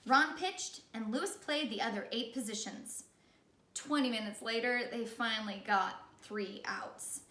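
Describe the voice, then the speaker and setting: A woman reading a children's story aloud in the animated style of a teacher. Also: a little high, a little slow, distant mic. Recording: echoey room.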